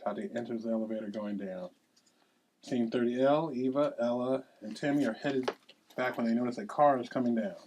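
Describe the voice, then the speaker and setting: A man's voice: medium pitch, fairly quick, darkish tone, slight background noise. A man narrating at a table read, reading scene headings and stage directions aloud.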